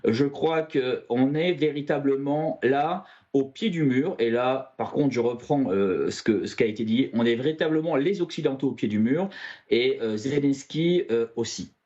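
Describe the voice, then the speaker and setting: A man giving his opinion as a guest in a news debate, in a halting, deliberate way. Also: mid-range voice, mellow, lo-fi sound. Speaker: panel guest commentator.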